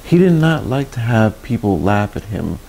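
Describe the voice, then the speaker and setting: Male storybook reader for kids: low, gravelly, very expressive, chatty asides, some background noise. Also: moderate pace, poor audio. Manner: slips in asides and questions to young listeners. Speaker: children's story reader, host. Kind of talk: expressive picture-book read-aloud for children. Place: small indoor room.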